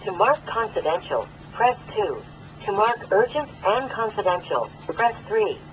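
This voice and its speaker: A man's recorded voicemail system voice reading out menu options in clipped, measured prompts. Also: high voice, big pitch swings. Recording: voicemail prompt over a noisy phone line.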